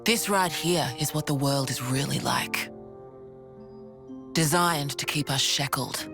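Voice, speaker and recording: Composed British rebel leader, music underneath. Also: female voice, sits low, takes it slowly, vocal fry, exaggerated voice. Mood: grave, explanatory. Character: composed, frank woman leading a rebel force. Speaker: resistance leader. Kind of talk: game cutscene acting. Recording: loud game music underneath. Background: game score.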